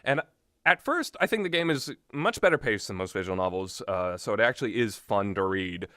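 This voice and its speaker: A man's voice: on the low side, animated, brisk, a bit bright, dry recording, clean studio sound. A man giving casual, rambling commentary with strong opinions and an emphatic way of talking. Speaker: video host.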